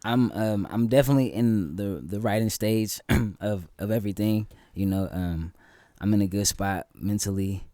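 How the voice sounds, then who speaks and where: A man's voice: on the low side, normal speed, hardly any pauses, no echo. A man from the San Francisco Bay Area, a guest musician chatting casually, with lots of ums and restarts. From San Francisco Bay Area, California. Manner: relaxed, many ums and restarts. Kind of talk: casual conversation.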